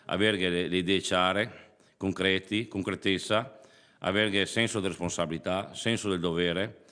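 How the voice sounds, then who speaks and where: A man with a Veneto accent speaking off the cuff as a councillor, halting and correcting himself in plain words. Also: low voice, fairly even intonation, sharp tone. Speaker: regional councillor speaking at a press conference. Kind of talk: informal impromptu speech. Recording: reverberant hall.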